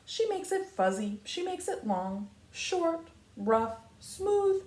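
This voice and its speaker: A woman reading a picture book aloud to young children like a teacher, with expressive, emphatic phrasing and explanatory asides. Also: extremely high pitch, slow. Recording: echoey room, faint background noise.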